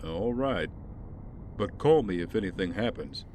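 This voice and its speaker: A man playing a caring, easygoing, protective driver in an audio drama, speaking gently and conversationally. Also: low-pitched, lively intonation, unhurried, very noisy. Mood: wary.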